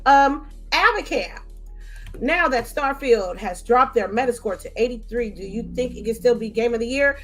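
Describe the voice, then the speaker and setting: A woman's voice: a little high, animated, moderate pace, faint music behind. A woman with an American accent hosting a casual gaming podcast and reading listener questions aloud to her co-hosts. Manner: reads questions aloud, apologetic and laughing. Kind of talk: casual chat.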